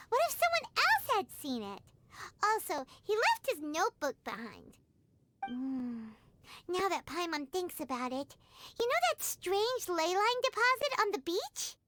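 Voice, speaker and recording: Game role: excitable little fairy mascot, extremely high and squeaky, hugely animated, childlike and inquisitive. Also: female voice, a little slow, slight background noise, heavily acted. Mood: curious, musing. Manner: exclamatory, refers to self in third person. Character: tiny cheerful childlike fairy companion, excitable and curious. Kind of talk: video game voice acting. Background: music.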